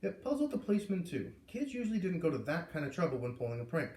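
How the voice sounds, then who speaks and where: A man reading a story aloud in an expressive, informal way, narrating like a storybook reader. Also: a little high, quick pace. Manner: animated, informal asides. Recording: echoey room, far from the mic.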